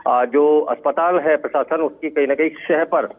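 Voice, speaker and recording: A man giving an unscripted live field report in a halting, repetitive way. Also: a little high, fairly even intonation. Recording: tinny phone-like radio-quality line.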